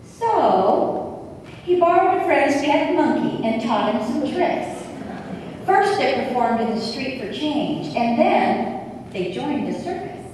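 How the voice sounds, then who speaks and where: A woman's voice: sing-song, takes it slowly, darkish tone, exaggerated voice. A woman playing a cheerful radio station announcer in an amateur stage radio play. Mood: storytelling. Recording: distant echoey hall, faint rumble.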